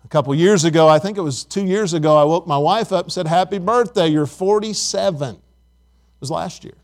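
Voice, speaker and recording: A man's voice: fairly high pitch, a little fast. A man in his fifties preaching a sermon, reading scripture and praying, in an earnest, conversational way with frequent repeated words. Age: 50s. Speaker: pastor.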